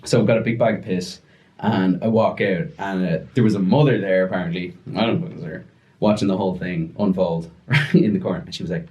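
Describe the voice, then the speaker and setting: A young man telling a story casually on a podcast, animated and breaking into voices as he retells it. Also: low-pitched, fast talker. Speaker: podcast guest.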